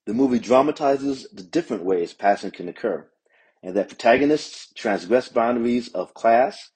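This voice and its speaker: Husky, mellow male professor giving commentary. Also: mid-range voice, expressive, moderate pace, low-quality recording. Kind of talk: prepared academic commentary.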